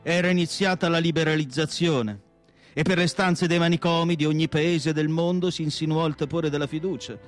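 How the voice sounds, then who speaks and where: A male actor playing a tormented asylum psychiatrist in a solemn, deliberate radio monologue with dramatic pauses. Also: on the high side, steady tone, faint music behind, lightly acted. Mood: grave, emphatic. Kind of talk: dramatic literary monologue, theatrical radio acting.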